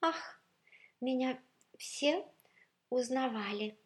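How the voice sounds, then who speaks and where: Lady doing a bashful fancy shawl in a story, hushed and sad, raised pitch. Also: extremely slow. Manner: shy, half-whispered. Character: elegant, bashful, dejected female shawl.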